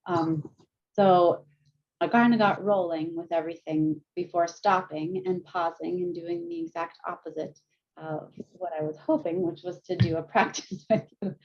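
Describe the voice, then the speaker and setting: A woman's voice: fairly low pitch, steady tone, normal speed, dull audio. A woman teaching mindfulness to a group on a video call, chatty and self-deprecating, laughing often and speeding up when she's nervous. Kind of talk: guiding a group session over video.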